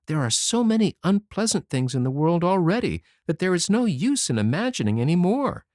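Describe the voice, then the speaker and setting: A reader voicing a gentle, earnest young schoolteacher woman who speaks softly, in an audiobook. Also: medium pitch, very animated, a little fast, no room sound, studio quality, voice pushed up, close to an everyday voice. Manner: soft, sorrowful, earnest. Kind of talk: audiobook character voice.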